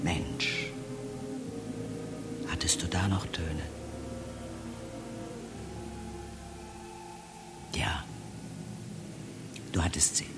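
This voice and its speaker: A man acting a solemn poetic monologue in a radio play, an anguished, accusing figure addressing mankind, with dramatic declamation that falls into hushed tones. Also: fairly low pitch. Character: anguished male speaker reciting a fervent accusatory address to mankind. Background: music.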